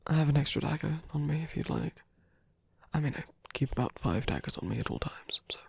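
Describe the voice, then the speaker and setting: A man playing a protective, gently teasing villain in an intimate ASMR roleplay, speaking in a soft, hesitant, breathy half-whisper. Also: on the high side, expressive, a little fast, dry recording, exaggerated voice. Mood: gentle, urgent concern. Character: male villain, protective, gently teasing, rescuing a wounded rival.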